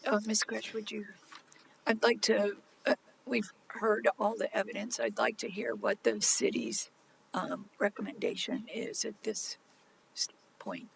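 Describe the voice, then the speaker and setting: A magistrate presiding over a formal city hearing, halting and filler-heavy, saying they are losing their voice. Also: mid-range voice, creaky, fairly bright tone, a little hiss or hum, rough recording. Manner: halting, many pauses and fillers. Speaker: special magistrate.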